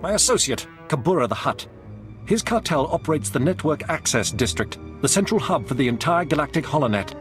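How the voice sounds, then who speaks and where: An actor playing a stern Imperial army major in a video game, giving clipped, authoritative orders. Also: male voice, on the high side, lively intonation, brisk, over music, lightly acted. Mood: stern, urgent. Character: stern, commanding male Imperial military major. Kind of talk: video game cutscene acting.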